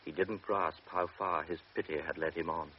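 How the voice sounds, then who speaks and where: Brief: male narrator, very low voice, grave measured delivery, dull hissy old broadcast. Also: steady tone. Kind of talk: scripted radio drama narration. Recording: dull old radio recording with hiss.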